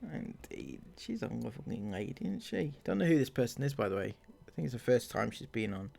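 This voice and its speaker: A man's voice: medium pitch, big pitch swings, creaky, a little mellow. A man hosting a commentary channel, reading viewer comments aloud and mocking them, with frequent laughs and sarcasm. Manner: sarcastic, laughs often, drawn-out delivery.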